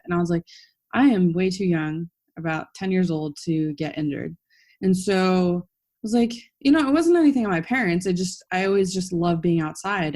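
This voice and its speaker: Young woman, from Florida, lowish pitch, chatty, upbeat, casual interview guest. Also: darkish tone. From Florida, United States. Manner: chatty, upbeat, with quoted self-talk. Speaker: guest, professional track cyclist.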